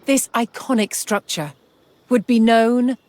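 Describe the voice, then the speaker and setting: A woman reads scripted historical narration for a game in a measured, dramatic storytelling manner, with deliberate pauses. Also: fairly high pitch, darkish tone. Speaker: documentary-style game narrator. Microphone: studio voice-over microphone. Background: music.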